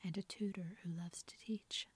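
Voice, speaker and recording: A woman's voice: fairly low pitch, steady tone, normal speed, husky. A woman whispering the part of a kind, encouraging young male tutor in a storybook reading. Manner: gentle, inviting.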